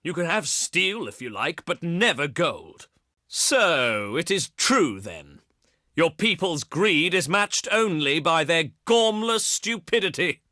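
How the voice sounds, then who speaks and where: Ancient Greek male diplomat, video game voice, pompous, scornful, sarcastic, animated intonation, very dry close recording. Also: sits high, no echo, heavily acted. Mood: indignant defiance. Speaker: voice actor in a strategy game.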